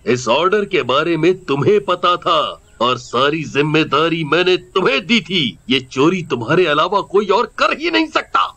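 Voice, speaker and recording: A man's voice: fairly high pitch, big pitch swings, moderate pace, sharp tone, heavily acted. A man voicing a stern company owner who firmly accuses an employee. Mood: accusing. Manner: accusing, firm.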